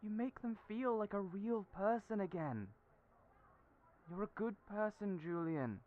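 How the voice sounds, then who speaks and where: A man voicing a young friend who talks earnestly and encouragingly, raised in pitch from his own voice. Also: measured pace, natural voice. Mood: reassuring. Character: warm, reassuring friend, young adult. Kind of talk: audio roleplay acting. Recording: tinny phone-like audio.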